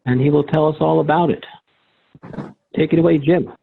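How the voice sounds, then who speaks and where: A man with a gravelly, slightly high voice gives an informal introduction. The sound is thin and band-limited, like a phone line on a video call. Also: fairly even intonation, normal speed, slight background noise. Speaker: club member introducing the speaker.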